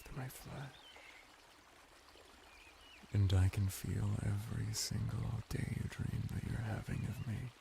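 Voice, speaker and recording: A man playing a flirty, servile merman in an intimate ASMR roleplay, murmuring teasingly with dramatic pauses. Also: lowish voice, creaky, background noise, full character voice. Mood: intimate, seductive. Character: flirty seductive merman, servile and sultry, devoted to his master.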